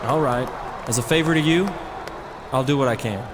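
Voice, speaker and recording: A man's voice: medium pitch, moderate pace, long reverb tail, crowd noise, noisy background, natural voice. A man playing a blunt, reluctant wrestling boss in a video game, skeptical and matter-of-fact. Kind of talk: video game cutscene acting.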